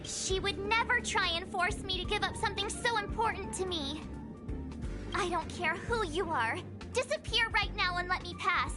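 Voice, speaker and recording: A woman voicing a spirited, expressive teenage girl adventurer in an anime-style video game. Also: extremely high pitch, normal speed. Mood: indignant. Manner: expressive, perky, emphatic. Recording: game music behind voice.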